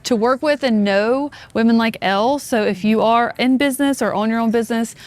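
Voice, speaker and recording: Bubbly, gushing woman host, higher voice. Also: fairly quick. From Mississippi. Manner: bubbly, gushing, lots of fillers like "like". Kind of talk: chatty interview conversation. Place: trade show floor.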